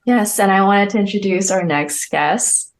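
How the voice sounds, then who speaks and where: A woman's voice: mid-range voice, normal speed. A woman co-hosting a podcast, introducing a guest in a semi-scripted way. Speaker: podcast co-host.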